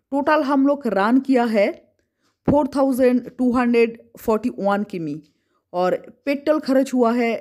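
Woman travel vlogger, from West Bengal, fairly high voice, chatty road-trip narration, phone at arm's length, car and outdoors. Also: a little mellow. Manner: chatty, listing places and costs.

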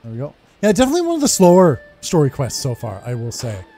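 A man's voice: on the high side, wildly swooping pitch, quick pace. A man in his thirties casually commentating on his own game playthrough, swearing and muttering to himself. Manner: sweary, muttering asides to self. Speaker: gaming streamer. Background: soft game music.

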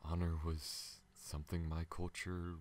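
Man voicing a very deep, weary alien pilot. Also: level tone, slight background noise. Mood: sincere, soft. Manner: slow, halting, earnest. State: weak and recovering from wounds. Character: gentle, honorable, battle-worn tall male alien pilot. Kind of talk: ASMR audio roleplay.

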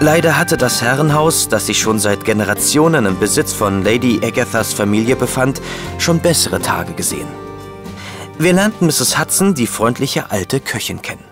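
Male actor as detective chronicler, scripted narration, medium pitch, music behind, radio play. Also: expressive, normal speed, natural voice. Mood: reflective.

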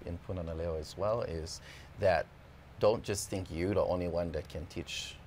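A man with a deep, low voice answers as an interview guest. He is thoughtful and halting, often repeating himself as he finds his words. There is noticeable noise behind him in the studio. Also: fairly even intonation, darkish tone. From Hawaii. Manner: halting, thoughtful, circles back and repeats phrases. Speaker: interview guest, language teacher and musician. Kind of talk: casual interview talk. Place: television studio. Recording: studio with clear background noise.

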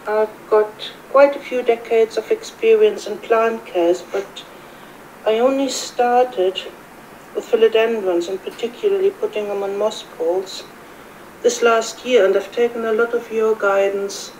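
A middle-aged woman with a German accent speaks in a measured, friendly and orderly way. She sounds a little distant from the mic, with faint noise in the background. It comes across as a home-recorded video message. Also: a little high, steady tone, darkish tone. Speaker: viewer sending in a video.

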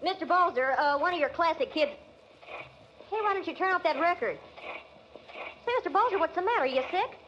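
A woman's voice: very high-pitched, animated, a little fast, pauses often, background noise. An actress playing a brash young jazz-mad American shop girl, sassy and slangy, in a 1940s radio drama. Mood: alarmed. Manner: slangy, sassy, jive-talking. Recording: dull, band-limited old radio recording.

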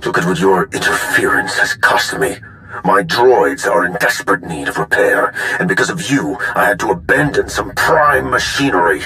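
A man's voice: low-pitched, animated, normal speed, sharp tone, exaggerated voice, rough recording. An actor playing an arrogant, cruel villain in a video game, haughty and menacing in his delivery. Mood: contemptuous, menacing. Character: arrogant, cruel male villain tinkering with droids. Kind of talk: video game dramatic acting.

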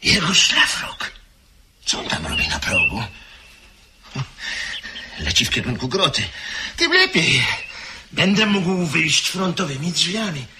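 A jittery gentleman in an old radio play who mutters his fears under his breath and stammers when he is addressed. His voice is fairly high for a man and leaps up and down. Hiss sits under the recording. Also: wildly swooping pitch, slow, long pauses, heavily acted. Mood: anxious. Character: nervous gentleman guest, jumpy and anxious. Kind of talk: radio drama acting. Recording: hissy old radio tape.

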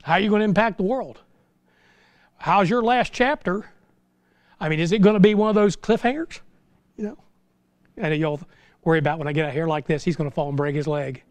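High-pitched American preacher man. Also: expressive, brisk, pauses often, no room sound. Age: middle-aged. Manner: folksy, exhortative, with rhetorical questions. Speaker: pastor preaching. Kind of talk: informal sermon. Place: small church sanctuary, indoors.

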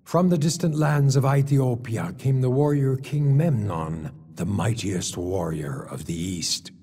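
A man acting as the solemn, deliberate narrator of an ancient war epic in a video game, over loud music. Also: mid-range voice, expressive, breathy edge. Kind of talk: dramatic scripted narration.

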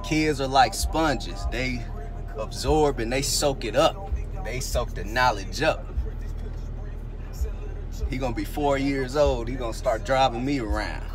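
A man in his thirties talking straight into a handheld phone camera, pushing an upbeat, preachy pep talk about staying motivated. His voice sits at an ordinary middle pitch. Background music runs clearly under his words. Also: a little slow, long pauses. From Indianapolis, Indiana. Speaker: vlogger and business mentor. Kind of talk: casual motivational vlog talk. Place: outdoors by schools, then inside a pickup truck. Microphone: phone camera at arm's length.

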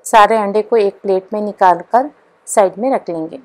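A woman hosting her cooking channel, narrating a recipe step by step in a calm, instructional voice-over. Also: mid-range voice, moderate pace, poor audio.

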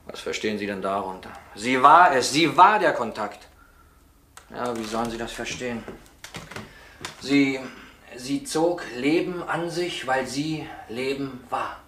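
A defensive, emotional man in a crime radio play. He speaks with halting fervour at a medium pitch. The old recording is echoey, with some faint noise. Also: expressive, pushed and bright. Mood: earnest, fervent. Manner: halting, fervent, pleading for understanding. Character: defensive, emotional male former drug counsellor, sociologist. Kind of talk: German crime radio drama acting.

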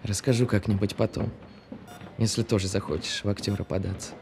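An actor as a proud, sardonic costumed performer. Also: male voice, on the low side, fairly even intonation, a little fast, noisy background, natural voice. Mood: wry, resigned. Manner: defensive, sardonic. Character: proud, touchy male costumed performer with big dreams. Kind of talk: audio drama dialogue.

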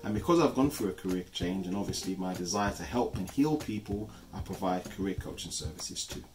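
A man, co-hosting a channel introduction from a living room sofa in a measured, earnest way. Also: low voice, lively intonation. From East London, England. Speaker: channel co-host. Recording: music playing underneath. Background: soft background music.

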